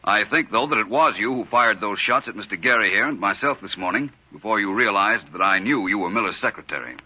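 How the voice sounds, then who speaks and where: A man playing a shrewd, unruffled gentleman detective in a 1940s radio drama, speaking in a measured, polite, coolly accusing way. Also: fairly low pitch, expressive. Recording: muffled old-time radio recording.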